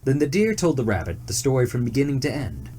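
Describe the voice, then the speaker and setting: A storyteller giving an expressive scripted narration of a fairy tale. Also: mid-range voice, fast talker, a little hiss or hum.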